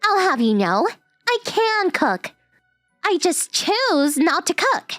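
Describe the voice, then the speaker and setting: Girl voice by a woman prickly young stepsister in a manga dub, high and swoopy, huffy, easily flustered. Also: big pitch swings, fairly bright tone, no room sound, faint music behind, exaggerated voice. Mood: huffy, embarrassed. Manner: huffy, defensive, slow-paced. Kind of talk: manga dub character acting.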